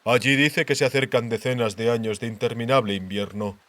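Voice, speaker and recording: A man voicing a grave, worldly king in an audiobook, speaking in a measured, deliberate, cautionary way. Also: mid-range voice, fairly even intonation, a bit bright, no room sound, natural voice. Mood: worried, reflective. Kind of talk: audiobook character dialogue.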